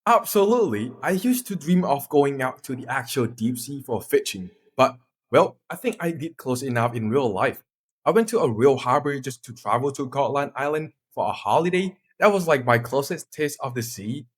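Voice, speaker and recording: A man's voice: mid-range voice, lively intonation, normal speed, husky. A twenty-two-year-old man with a Thai accent, presenting a scripted class talk in a friendly way. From Thailand. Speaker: student presenter.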